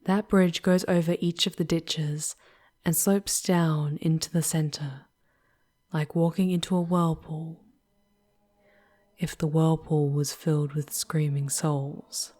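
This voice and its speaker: Woman doing a lowish breathy demon lady, teasing n affectionate, asmr roleplay, talks fancy and unhurried. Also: steady tone, warm tone. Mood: measured, explaining. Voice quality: breathy, often near-whispered. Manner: slow, formal, old-fashioned storybook diction. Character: charming, teasing female demon guide, affectionate and formal-spoken. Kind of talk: ASMR roleplay, addressing the listener intimately.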